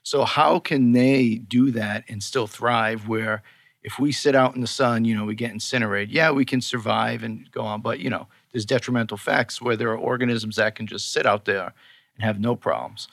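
Boston man, gravelly edge, dry close sound, conversational guest explaining his work. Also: mid-range voice, steady tone, moderate pace, a bit bright, no room sound. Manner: explanatory, conversational, many "you know"s. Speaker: skincare company co-founder, guest. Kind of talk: casual interview talk.

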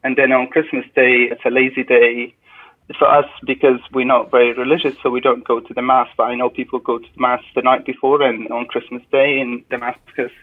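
A man's voice: medium pitch, flat delivery, a little fast. Man on a tinny phone line. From Syria. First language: Arabic. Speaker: podcast guest. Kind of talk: casual interview answers.

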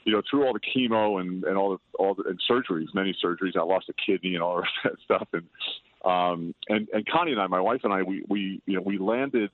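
An older man chatting as an interview guest, loud-voiced, rambling and self-deprecating. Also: low-pitched, a little fast, dry recording. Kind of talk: casual interview chat. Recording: tinny dry phone line.

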